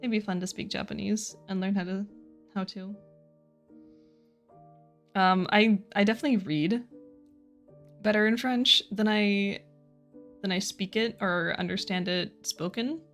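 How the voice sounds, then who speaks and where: A woman's voice: medium pitch, fairly even intonation, unhurried, long pauses, soft background music. A young adult woman streamer chatting casually with viewers while she draws, giggling often and poking fun at herself. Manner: chatty, giggly, self-deprecating.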